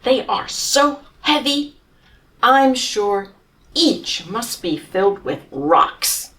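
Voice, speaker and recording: A woman's voice: on the high side, sing-song, drawn-out words, fairly bright tone, distant mic, pitched above the performer's own voice, full character voice. A woman reading aloud as a pompous, self-pitying male camel who complains grandly about his lost blanket, from a storybook. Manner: grandiose, whining, theatrical complaints.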